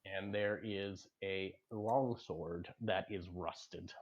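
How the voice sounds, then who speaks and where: A man running a tabletop game as game master, improvising narration in a halting, explanatory way. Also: sits low, steady tone, muffled recording.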